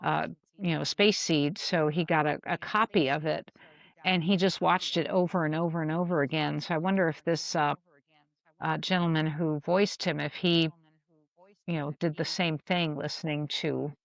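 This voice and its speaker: A woman co-hosting a podcast, chatting casually in a halting, reflective way about an audio drama. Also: deep voice, fairly even intonation, vocal fry, no room sound, lo-fi sound. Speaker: podcast co-host.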